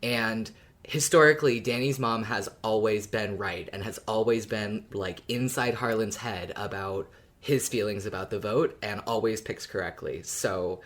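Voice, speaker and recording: A woman's voice: rumbling low, normal speed. A woman co-hosting a fan podcast in a casual, conversational style. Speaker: podcast co-host.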